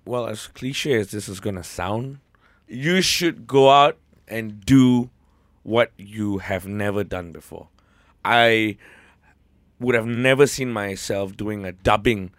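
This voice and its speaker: A man's voice: fairly low pitch, measured pace, dry recording, clean studio sound. A man with a Malaysian English accent, a voice actor speaking earnestly in an interview. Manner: earnest, heartfelt. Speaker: voice actor guest. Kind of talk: casual interview talk.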